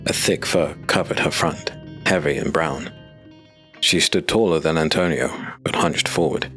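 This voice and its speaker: Very deep male audiobook narrator. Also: normal speed, bright, forceful tone. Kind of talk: scripted narration. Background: music.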